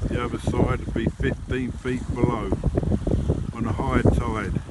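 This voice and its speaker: Older man, 60s, unhurried self-deprecating outdoor vlog chat; mid-pitched voice, heavy wind noise on a phone mic. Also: flat delivery. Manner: wry, self-mocking, unhurried asides. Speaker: angler vlogger. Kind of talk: casual chat to camera. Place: outdoors by a sea wall on an estuary shore. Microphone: phone camera held by the speaker.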